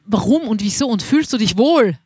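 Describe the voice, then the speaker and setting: A woman's voice: a little high, expressive, brisk, mellow, husky, no room sound. Upbeat Austrian woman podcast host. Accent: Austrian German. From Waldviertel, Austria. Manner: upbeat, welcoming. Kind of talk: podcast introduction. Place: outdoors at a music festival.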